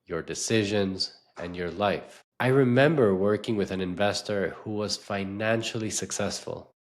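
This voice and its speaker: A man delivering a scripted motivational talk as an investor and coach, in a measured, emphatic way with deliberate pauses. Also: fairly low pitch.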